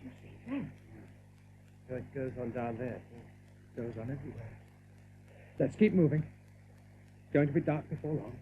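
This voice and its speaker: A man's voice: mid-range voice, animated, measured pace, long pauses, close to an everyday voice. A man playing a brave, decisive young rabbit leader in an audio drama, earnest and commanding. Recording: thin narrow-band recording with faint noise.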